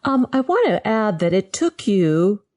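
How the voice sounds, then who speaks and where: A woman hosting an interview, asking thoughtful, measured questions. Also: medium pitch, very animated, darkish tone. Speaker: host.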